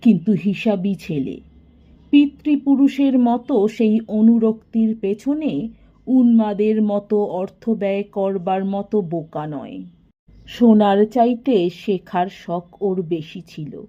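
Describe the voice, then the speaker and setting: A woman narrating a literary story in an expressive, measured and dramatic way. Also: mid-range voice. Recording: dull, lo-fi recording.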